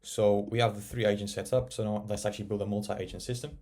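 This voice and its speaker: A man narrating a casual software tutorial, explaining and thinking aloud as he works. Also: on the low side, steady tone, quick pace. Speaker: tech tutorial presenter.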